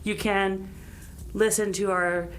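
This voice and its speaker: A woman's voice: medium pitch, flat delivery, takes it slowly, slight background noise, poor audio. A woman musician chatting casually as an interview guest, with a wry, self-deprecating manner. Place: radio studio.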